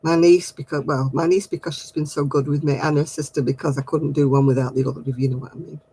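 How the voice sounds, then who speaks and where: A man's voice: on the high side, fairly even intonation, quick pace, mellow, slightly rough, poor audio. A middle-aged man, hosting a casual craft livestream and chatting with viewers in a giggly, rambling way. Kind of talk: casual chat answering viewer comments.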